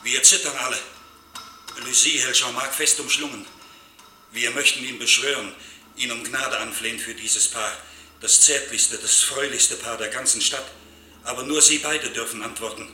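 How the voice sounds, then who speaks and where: A man's voice: medium pitch, bright, forceful tone. Anxious townsman, echoey and distant. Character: anxious townsman reporting from a restaurant, fearful, pleading. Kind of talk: German radio play acting. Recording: echoey, distant, faint noise.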